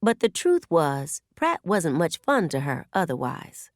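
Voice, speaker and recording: A woman narrates literary fiction in an expressive storytelling style. Her voice sits slightly low and moves freely in pitch. The recording is very dry, like a studio audiobook. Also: measured pace, no echo. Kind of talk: scripted novel narration.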